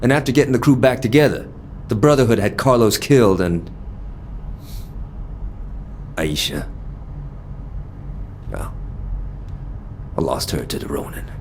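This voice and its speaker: An actor playing a loyal, battle-worn American gang lieutenant, streetwise and candid with a gravelly voice, in a game audio log. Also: male voice, on the low side, animated, a little slow, long pauses, darkish tone, slight background noise. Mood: frank, loyal.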